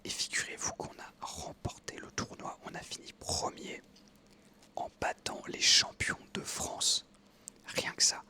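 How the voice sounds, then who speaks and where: A man in his twenties whispering a relaxed personal story while out on an evening walk, hesitating often with fillers. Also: a little slow. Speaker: ASMR creator.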